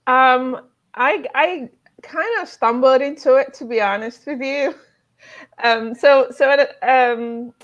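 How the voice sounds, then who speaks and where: A woman talks slowly and hesitantly on a panel, pausing often around fillers. Her audio comes through a video call and sounds dull and lo-fi. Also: high voice. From Nigeria. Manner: hesitant, many pauses and fillers. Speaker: game designer and educator. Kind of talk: casual panel chat.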